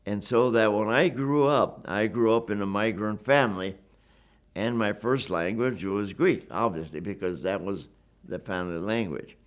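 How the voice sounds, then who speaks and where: A man's voice: on the low side, normal speed. An elderly man in his nineties recounts his life in an oral-history interview. He speaks earnestly and with emphasis, circling back to repeat his points and stumbling over words as he goes. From Wisconsin, USA. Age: 90s. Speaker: WWII veteran interviewee. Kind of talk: reflective oral-history storytelling.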